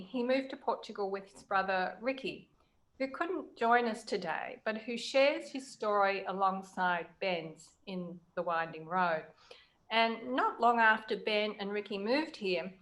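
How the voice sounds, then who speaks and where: A woman's voice: medium pitch, fairly bright tone. A woman interviewer introducing and chatting with her guest in a conversational hosting style.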